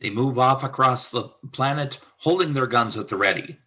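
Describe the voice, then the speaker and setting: A man serves as narrator of a scripted radio play. His mid-pitched voice is very sharp and forceful, with a raspy grain. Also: normal speed.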